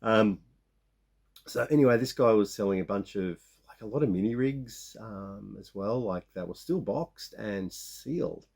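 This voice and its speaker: A man in his fifties with a croaky sore-throat voice, rambling casually as he shows off his toy finds. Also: on the low side, lively intonation, a little slow, a little mellow. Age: 50s. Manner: rambling, many restarts and fillers. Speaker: toy collecting vlogger. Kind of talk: casual show-and-tell of toy haul.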